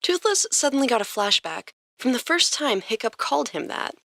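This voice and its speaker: A woman's voice: a little high, big pitch swings, normal speed, creaky, a bit bright, dry recording, clean studio sound. A woman hosting a podcast and narrating a story expressively from a script.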